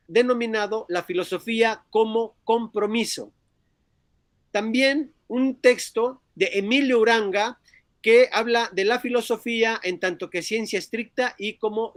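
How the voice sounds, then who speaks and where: A man speaks in a notably high register for a male voice. He presents like a university lecturer at a seminar, often pausing on fillers as he looks for the next phrase. The sound is dry, with very little room in it. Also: dry recording. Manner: halting, searching for words, frequent filler words. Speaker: philosophy lecturer presenting a book. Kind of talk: academic seminar talk.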